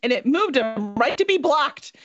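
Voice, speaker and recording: A middle-aged woman hosting a casual interview in an expressive, chatty way. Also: high-pitched, moderate pace. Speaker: host, interviewer. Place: indoor home room. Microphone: video call. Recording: dull, lo-fi video call audio.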